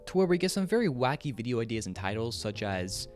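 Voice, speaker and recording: Man with lively commentary over music. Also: mid-range voice, quick pace. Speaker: video essay host. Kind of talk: scripted commentary with casual asides. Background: background music.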